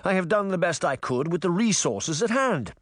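A man voicing a smooth, defensive small-town mayor in an audiobook, with a forced polite tone. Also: high voice, big pitch swings, moderate pace, no room sound, clean studio sound, voice pushed up. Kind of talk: audiobook character voice.

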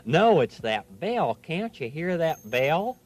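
A man's voice: on the high side, sing-song, slow pace, full character voice. A man playing a fussy, easily bothered male owl puppet in a children's show, with a folksy, insistent manner. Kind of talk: children's television puppet play.

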